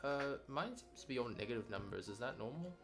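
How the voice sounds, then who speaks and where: A man's voice: mid-range voice, lively intonation, brisk, a bit bright. A man casually chatting as he follows a tutorial, tentatively thinking aloud while he works. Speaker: learner following along, lead writer. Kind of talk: casual chat while working on screen. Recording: music clearly playing behind the voice. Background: background music.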